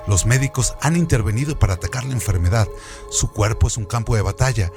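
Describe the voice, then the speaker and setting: A man's voice: on the low side, quick pace. A man reading a scripted literary essay in a formal, didactic manner, as a radio presenter. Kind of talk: scripted literary essay narration with question hooks. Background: background music.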